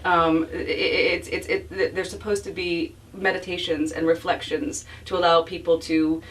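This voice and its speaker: A woman's voice: lowish voice, little pitch movement, moderate pace. A woman author speaking informally at a bookstore event, reflective and self-deprecating, often restarting her phrases as she explains her work. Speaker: author being interviewed about her book. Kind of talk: informal spoken book talk with audience. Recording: distant mic, faint room noise.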